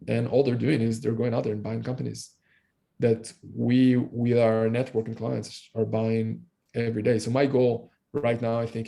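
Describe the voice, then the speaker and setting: A man in his thirties from Israel, a guest talking casually on a video call, fast and loose with many fillers and restarts. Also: mid-range voice, vocal fry, dark tone. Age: 30s. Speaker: guest entrepreneur. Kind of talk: casual interview talk. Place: room at home.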